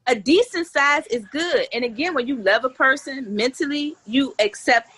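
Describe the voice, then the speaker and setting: A woman in her forties with a fairly high, bright and forceful voice. She talks like an interview guest in a loose, candid chat, blunt and earthy, turning her stories into jokes. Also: normal speed, husky, low-quality recording. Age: 40s. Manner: blunt, earthy slang, animated storytelling. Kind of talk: candid, joking casual chat.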